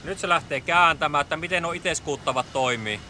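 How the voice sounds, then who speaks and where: A man's voice: a little high, sharp tone. Easygoing man narrating on a windy sailboat. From Finland. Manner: easygoing, thinking aloud with many fillers. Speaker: sailing vlogger. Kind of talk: casual narration to camera while sailing. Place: outdoors on a sailboat cockpit under a canopy. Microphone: action camera mounted on the boat. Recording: wind and water noise behind.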